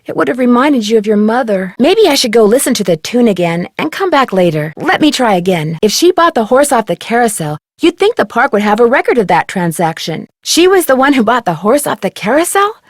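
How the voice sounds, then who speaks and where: A woman playing an inquisitive, polite young American detective in a video game, speaking earnestly and clearly. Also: on the high side, sing-song, brisk, hardly any pauses, no room sound, clean studio sound. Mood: thoughtful, encouraging. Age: young adult. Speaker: player character in an adventure game. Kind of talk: scripted video game dialogue.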